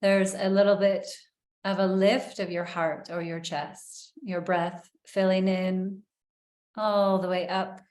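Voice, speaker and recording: A woman leading a guided breathing meditation in a soft, soothing way, with long pauses. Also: mid-range voice, little pitch movement, reverberant.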